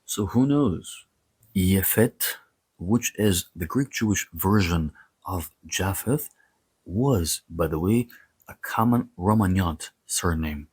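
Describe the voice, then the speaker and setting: Male lecturer, low husky voice, dry close sound, explanatory delivery in measured short phrases with informal asides. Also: lively intonation, darkish tone, dry recording. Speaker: host of a history and mythology series. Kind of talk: scripted lecture with casual asides.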